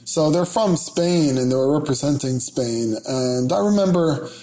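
A man speaking as a podcast guest, measured and hesitant, with many fillers. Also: fairly high pitch, mellow. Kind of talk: casual chat. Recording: echoey room.

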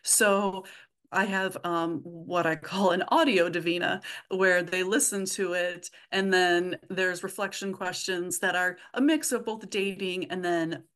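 A woman speaks as an interview guest in a casual, chatty way. Her voice sits a little low for a woman. The delivery is informal and conversational. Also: steady tone, measured pace, fairly bright tone. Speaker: podcast creator, interview guest.

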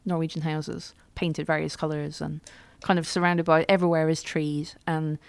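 Woman, podcast co-host, wry chatty delivery, gravelly low voice. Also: flat delivery, normal speed, dry recording. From United Kingdom. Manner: chatty, wry, self-deprecating. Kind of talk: casual chat.

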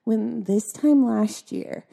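A young woman with a squeaky, slightly husky voice and a high-ish pitch. She chats freely on a podcast and tells stories with a lot of giggling. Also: slow, warm tone. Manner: giggly, chatty storyteller, laughs often. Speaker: podcast guest. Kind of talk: casual chat.

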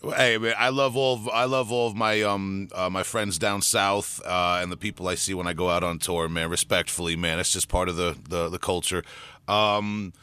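A man from the United States hosts a casual interview in a loose, friendly way, with a bright, sharp voice. The recording is dry and close. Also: low-pitched, steady tone, moderate pace, smooth, dry recording. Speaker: podcast host and vocalist.

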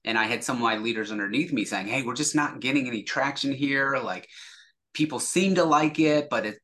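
A man's voice: medium pitch, fairly quick, bright, forceful tone. A man speaking as an interview guest, a sales executive explaining things casually and acting out example dialogue.

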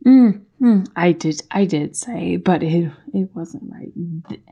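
An actress plays an unsettled young woman confronting her partner in an audio drama. Her voice is husky and dark, and she speaks slowly, haltingly and accusingly. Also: fairly low pitch, muffled recording, full character voice. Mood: disturbed, accusing. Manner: halting, repetitive, fraught. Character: troubled, accusing young girlfriend, unsettled and fixated.

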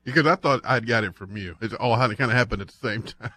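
A man co-hosts a radio talk show, dropping in quick, joking asides. His voice sits at a middle pitch, and the studio sound is dry and close. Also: sharp tone, breathy edge, no echo. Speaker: radio co-host. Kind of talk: casual radio banter.

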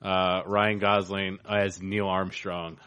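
A man's voice: sits low, level tone, slow, fairly bright tone, clean tone. A man co-hosting a podcast, talking casually, hesitant and laughing as he picks his words. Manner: hesitant, stops and restarts, laughing. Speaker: podcast co-host.

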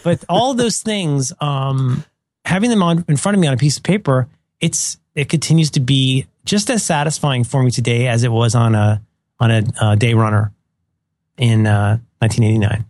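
A slightly hoarse man co-hosting a podcast, chatting casually in a rambling, self-deprecating way. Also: mid-range voice, moderate pace, darkish tone, no room sound. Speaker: podcast co-host. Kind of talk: casual chat.

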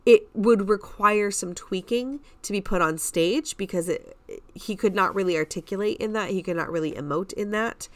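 A woman's voice: mid-range voice, moderate pace, hardly any pauses, no echo. A woman co-hosting a podcast, chatting casually and giving emphatic opinions in pointed statements. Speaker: podcast co-host.

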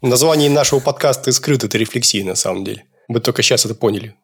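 A man of middle pitch hosting a podcast. His speech is relaxed and conversational, and he asks his guest curious questions. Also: racing, husky. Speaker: podcast host.

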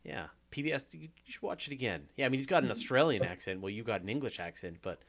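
A man with a Canadian accent hosting a live space podcast, relaxed and reading out viewer questions. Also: medium pitch, fast, gravelly. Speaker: podcast host. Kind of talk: casual live Q&A chat.

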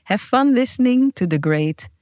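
A woman's voice: a little high, lively intonation, normal speed, a little mellow, no room sound. Woman, earnest and emphatic podcast host, dry close sound. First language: Dutch. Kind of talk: scripted podcast intro and casual chat.

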